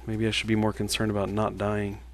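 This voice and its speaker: A man in his thirties chatting casually on a livestream while he plays, a game developer answering viewer questions in a relaxed, halting way with many fillers. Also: lowish voice, slight background noise. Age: 30s.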